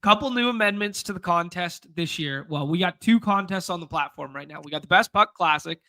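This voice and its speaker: A man's voice: sits high, a little fast, pushed and bright. A man hosting a casual sports drafting show, nasal and stuffy from a cold, with upbeat slangy hype talk. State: congested from a cold. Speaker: podcast host. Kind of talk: casual sports betting chat.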